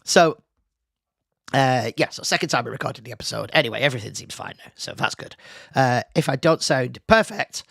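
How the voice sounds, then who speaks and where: Slightly hoarse, gravelly podcast host man. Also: mid-range voice, expressive, fairly quick, a bit bright, dry recording, clean studio sound. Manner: rambling, self-deprecating, chatty with fillers. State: losing his voice, slightly hoarse. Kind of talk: casual solo podcast chat. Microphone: studio mic with headphones.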